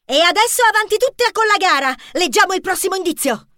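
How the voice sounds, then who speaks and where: A man voicing eager youngsters on an adventure in a children's story, excitable and chirpy. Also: very high voice, measured pace, no echo, clean studio sound, pitched above the performer's own voice, exaggerated voice. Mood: excited. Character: eager, high-voiced youngsters on an adventure team.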